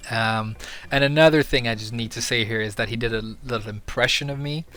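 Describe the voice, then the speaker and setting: A man's voice: medium pitch, brisk, a bit bright, faint music behind. A young man from Sweden talking candidly to camera as a video creator, earnest and a little hesitant with fillers. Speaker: YouTuber. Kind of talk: casual talk to camera.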